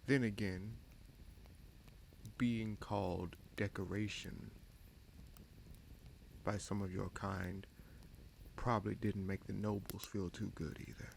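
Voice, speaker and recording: Casting: male actor for a frank, wry bastard prince, fantasy audio roleplay. Lowish, creaky voice, musing delivery with long pauses, slight background noise. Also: steady tone, full character voice, low-quality recording. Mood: candid. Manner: measured, musing, pausing often mid-sentence. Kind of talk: fantasy audio roleplay, slow intimate monologue.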